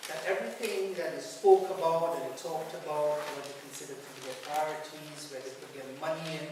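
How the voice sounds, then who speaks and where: A man from Trinidad, giving a measured lecture as guest speaker, pausing often. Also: a little high. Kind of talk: lecture to a student audience. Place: large hall. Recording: echoey hall from far off, noisy.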